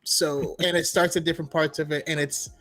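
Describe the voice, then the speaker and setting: A man with a slightly high voice chats casually about games as a podcast co-host. He is enthusiastic and tends to ramble excitedly. Faint music plays behind him. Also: fairly quick. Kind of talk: casual enthusiastic gaming chat.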